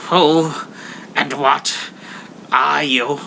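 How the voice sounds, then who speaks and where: Fearful, humbled old miser, played by a man: raised pitch, slow emphatic pleading, storybook reading with noisy background. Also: steady tone, gravelly, pushed and bright, heavily acted. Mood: timid, questioning. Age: older.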